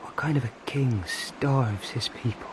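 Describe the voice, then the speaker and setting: A man's voice: medium pitch, measured pace, lightly acted. An actor playing young King Arthur, an earnest, brooding, guilt-ridden ruler in a radio drama. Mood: remorseful. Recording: noisy outdoor ambience behind voice.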